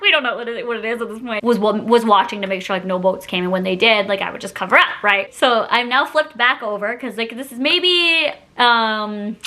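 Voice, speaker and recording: Young woman doing a storytime vlog, kinda high super bright voice, chatty n dramatic, giggly, rambles off topic. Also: brisk, few pauses. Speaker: YouTube storytime vlogger. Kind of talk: casual storytime chat to camera.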